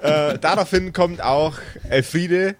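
A man's voice: a little high, takes it slowly. A man acting as game master, improvising narration and bits of character talk.

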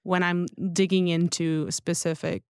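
A woman's voice: fairly low pitch, flat delivery, moderate pace, smooth, no echo, clean studio sound. A woman speaking as a podcast guest in casual, opinionated chat, rambling informally with lots of filler phrases. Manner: informal, rambling, peppered with "like" and "you know". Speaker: podcast guest, newsletter writer.

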